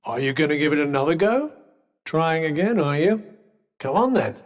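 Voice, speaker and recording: A man's voice: fairly high pitch, lively intonation, moderate pace, natural voice. An actor playing a sardonic male companion in a video game, talking in a dry, teasing, mock-polite way. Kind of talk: video game character voice lines.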